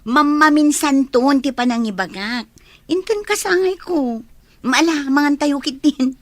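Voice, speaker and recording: A woman's voice: high voice, expressive, measured pace, no room sound. A woman with an Ilocano accent playing a cheerful, hospitable young village woman in a radio comedy-drama. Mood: coy, happy.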